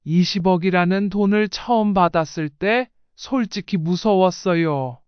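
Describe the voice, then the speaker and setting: A woman's voice: on the low side, unhurried, smooth, no room sound, muffled recording. A woman reading a scripted first-person life story in audiobook style. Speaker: narrator.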